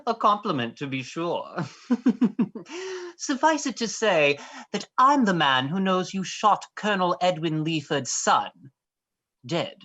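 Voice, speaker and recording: A woman plays a genteel, sardonic male villain in a stage drama. The voice is lowish for a woman and sweeps widely in pitch, unhurried and florid, full of mocking courtesy. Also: sing-song, a bit bright, breathy edge, exaggerated voice. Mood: smug, sardonic. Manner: florid, mannered, mocking formality. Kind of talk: theatrical stage play reading.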